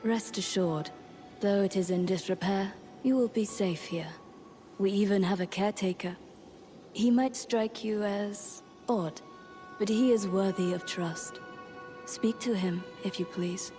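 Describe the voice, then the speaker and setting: A woman playing a solemn, composed priestess in a video game, speaking in a formal and measured way. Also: medium pitch, over music, natural voice. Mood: reassuring, solemn. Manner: formal, measured, grave delivery. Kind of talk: scripted video game dialogue.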